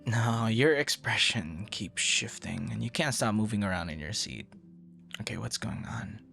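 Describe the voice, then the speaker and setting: A man performing an ASMR roleplay as an earnest, easily flustered young stranger, talking conversationally with hesitant repeats and hushed asides. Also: on the low side, expressive, creaky, faint music behind, full character voice. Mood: surprised, curious. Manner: hesitant, halting, soft-spoken asides, repeats words.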